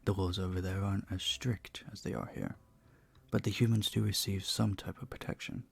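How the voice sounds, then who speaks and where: A man playing a charming, polite vampire bartender in an intimate audio roleplay, soft-spoken and slightly formal. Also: deep voice, steady tone, moderate pace, vocal fry, breathy edge, full character voice. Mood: wistful, guarded. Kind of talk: audio roleplay, intimate one-sided dialogue.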